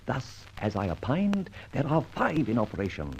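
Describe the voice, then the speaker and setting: An actor playing a jaunty, confident gentleman detective in an old-time radio serial, with theatrical, old-fashioned phrasing. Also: mid-range voice, wildly swooping pitch, normal speed, gravelly. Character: suave, jaunty gentleman adventurer-detective, male, whimsical and confident. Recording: noisy old radio recording.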